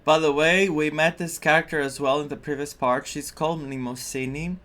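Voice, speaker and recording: A man's voice: a little high, steady tone, normal speed, a bit bright. A man giving casual, reflective playthrough commentary as a gaming streamer, often searching for his words. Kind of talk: casual playthrough commentary, reading some dialogue aloud.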